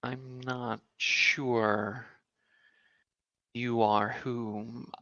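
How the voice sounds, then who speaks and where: Hesitant man with a bright voice. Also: medium pitch, little pitch movement, extremely slow, no room sound, dull audio. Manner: hesitant and searching, with many fillers. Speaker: player. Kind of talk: playing a cleric in a tabletop game.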